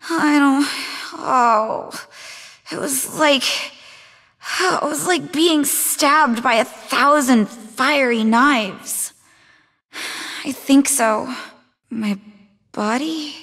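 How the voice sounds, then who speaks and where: Very high-pitched, distraught young woman. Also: a bit bright, full character voice. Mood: agonized. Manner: frantic, distraught, halting. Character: anguished, desperate young woman, corrupted and panicking. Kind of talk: video game voice acting. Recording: long reverberant hall echo.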